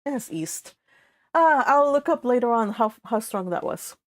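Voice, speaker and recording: A Californian woman hosting a read-along, reading a novel aloud and chatting about it in an informal, animated manner. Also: a little high, a little slow, no echo. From California, United States. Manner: animated, informal, exclaiming asides. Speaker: host of a live read-along.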